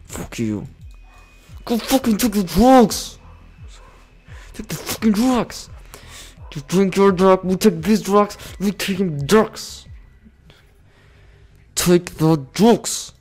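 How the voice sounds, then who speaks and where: A man giving casual commentary over a management game, excitable, swearing and yelling orders at his units. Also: on the high side. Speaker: gaming channel host.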